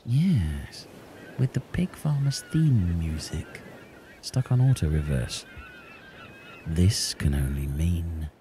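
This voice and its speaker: A man delivering deadpan lines of comic dialogue. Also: sits low, takes it slowly, a little hiss or hum. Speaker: comedy podcast cast member.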